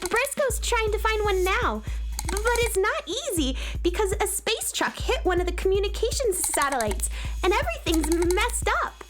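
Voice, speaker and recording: A woman playing a sick young girl space traveler in a children's radio drama, plaintive and friendly in a slow, childlike way. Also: very high-pitched, very animated, music in the background. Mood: poorly, explaining.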